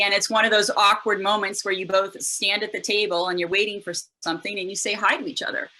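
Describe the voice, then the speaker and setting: A woman's voice: mid-range voice, bright, forceful tone, rough recording. A woman hosting a casual online conversation, chatty and loose, with many fillers and restarts. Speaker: podcast host. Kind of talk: casual webinar chat.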